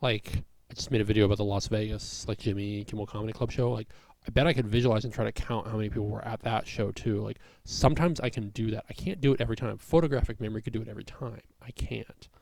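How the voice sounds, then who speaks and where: A young man, a comedian, talking through a personal vlog in a rambling way with a raspy voice and many fillers. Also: on the low side, quick pace, a little mellow, no room sound. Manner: rambling, full of fillers and restarts.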